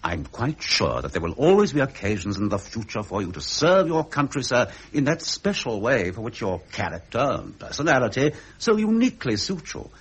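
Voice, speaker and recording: An actor playing a tactful, anxious Prime Minister in a period drama, measured and deferential, with an upper-class English accent. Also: male voice, medium pitch, very animated, husky. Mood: pleading. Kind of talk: period British broadcast drama acting.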